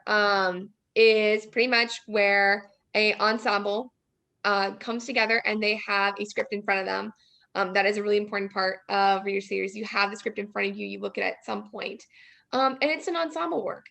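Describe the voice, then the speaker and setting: A woman's voice: medium pitch, vocal fry, fairly bright tone. A young woman, a podcast guest, explaining something informally. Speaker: guest speech coach and judge.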